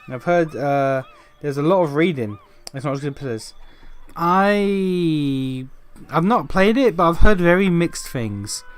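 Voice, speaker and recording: A man's voice: on the high side, expressive, unhurried, smooth, faint music behind. Chatty game streamer man. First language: Portuguese. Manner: chatty, rambling, jokey, many fillers. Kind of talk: casual let's-play commentary.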